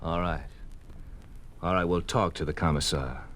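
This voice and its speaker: An actor playing a brutish, scornful communist police henchman with rough, slangy tough talk in a 1950s radio drama. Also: deep voice, normal speed, fairly bright tone, a little hiss or hum. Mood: suspicious, sly. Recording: old radio broadcast recording with music.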